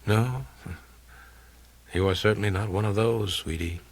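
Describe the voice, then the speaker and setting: Man voicing a husky, sardonic, seductive film actress. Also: fairly low pitch, measured pace, no room sound. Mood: teasing. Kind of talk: audiobook character dialogue.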